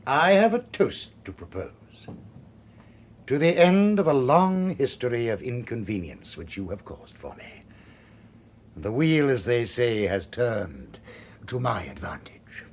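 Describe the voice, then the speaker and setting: An actor in a radio drama playing a suave, gloating master criminal who speaks with ornate, menacing courtesy. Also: male voice, medium pitch, very animated, a little slow. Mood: gloating politeness. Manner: elaborately courteous, ornate formal phrasing. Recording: faintly noisy radio drama recording.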